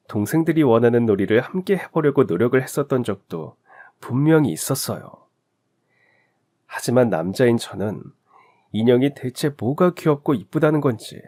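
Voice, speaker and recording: A man narrating a heartfelt life story in a scripted, expressive reading. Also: medium pitch.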